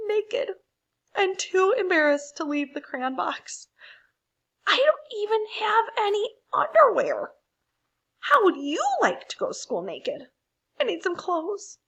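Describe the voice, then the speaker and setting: Mortified storybook crayon, acted by a woman, very high, whiny, pleading. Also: vocal fry, fairly bright tone, heavily acted. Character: embarrassed, whimpering, naked peach crayon. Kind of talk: picture book read aloud.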